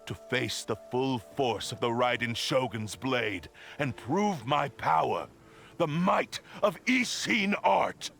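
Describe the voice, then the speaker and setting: An actor voicing a ruthless spirit that possesses men's bodies, speaking in grandiose, contemptuous declarations for a video game. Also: male voice, mid-range voice, expressive, slow pace, vocal fry, fairly bright tone, faint music behind, full character voice. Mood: cold, grandiose. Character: ruthless male spirit possessing hosts, proud swordsman.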